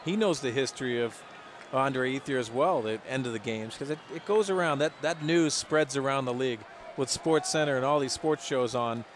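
A man's voice: medium pitch, moderate pace. An American man giving live baseball analysis on radio, explaining plays conversationally and rephrasing as he goes. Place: stadium broadcast booth. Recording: noisy stadium crowd behind. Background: ballpark crowd and stadium ambience.

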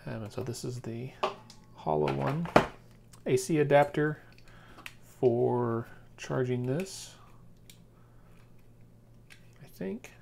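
A man's voice: medium pitch, takes it slowly, pauses often, mellow. An American man in his thirties or forties giving a casual, informal unboxing commentary, thinking aloud as he goes. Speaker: product reviewer. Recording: clear background noise, handling clicks.

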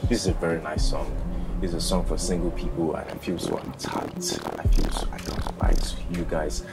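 A man's voice: a bass voice, animated, normal speed, creaky, poor audio. A young man from Nigeria with a Nigerian accent, chatting casually and self-mockingly as he reacts to a song in a small bedroom studio. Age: young adult. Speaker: reaction video host. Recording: song playing loudly behind. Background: loud music.

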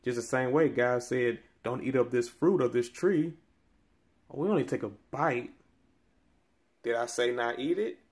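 A man's voice: measured pace, long pauses, darkish tone. A man in his thirties giving earnest Bible-teaching commentary straight to camera, preacherly and building in force on key points, recorded on a phone held close in a small room. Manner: preacherly, rising in pitch and force on key phrases. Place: small room indoors by window blinds.

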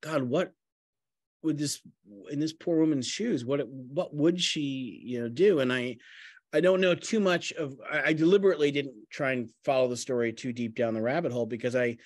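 A middle-aged man, a playwright in a talkback discussion on a video call, speaking haltingly and rephrasing often. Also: mid-range voice, no room sound, studio quality. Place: room at home, on a video call.

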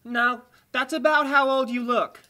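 A high-voiced female puppet character acted by a woman for a comic parody. She is chatty and forward, sweet on the surface and blunt underneath. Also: steady tone, a little slow, bright, forceful tone. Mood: bluntly teasing. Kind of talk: sock puppet parody acting.

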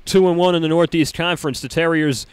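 A young man doing postgame interviews in a college gym, rattling off scores and stats in a crisp broadcast delivery into a handheld mic. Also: a little high, steady tone, quick pace, dry recording. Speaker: sideline reporter.

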